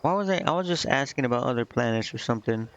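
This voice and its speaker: A man casually commentating a game live and reading its text aloud, thinking out loud in a rambling way and stumbling over unfamiliar words. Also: mid-range voice, lively intonation, fast talker. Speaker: game streamer.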